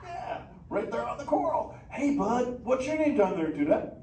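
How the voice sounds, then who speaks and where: An exuberant surfer dude turtle, pitched high and swooping all over. He banters goofily in drawling slang at a live theme park show. The sound is echoey and picked up from a distance. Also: big pitch swings, normal speed, darkish tone, slight background noise. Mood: friendly, playful. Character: laid-back surfer dude sea turtle, exuberant adult male.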